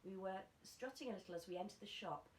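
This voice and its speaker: A woman's voice: mid-range voice, fast. Female primary teacher, storybook read-aloud, mid-range voice, roomy recording with faint noise. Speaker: primary school teacher reading to pupils. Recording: echoey room, faint background noise.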